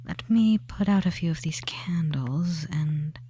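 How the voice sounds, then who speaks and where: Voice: mid-range voice, a little slow, dark tone, close to an everyday voice. A performer voicing a tender, devious male forest witch in an ASMR roleplay, whispering breathily and fussing soothingly over the listener with endearments. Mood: soothing, welcoming.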